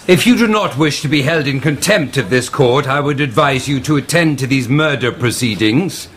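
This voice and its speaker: A man's voice: medium pitch, animated, moderate pace, fairly bright tone, lightly acted. An actor playing a stern, pompous male prosecutor in a radio drama. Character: stern, pompous crown prosecutor, easily affronted. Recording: faint courtroom noise behind.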